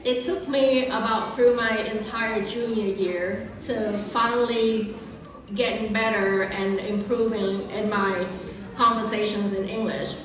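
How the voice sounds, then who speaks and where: Slow Vietnamese-accented woman in echoey hall. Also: on the high side, fairly even intonation, far from the mic, background noise. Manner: earnest, careful, with frequent restarts. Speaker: guest speaker. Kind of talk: prepared speech at a community event. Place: large echoey event hall.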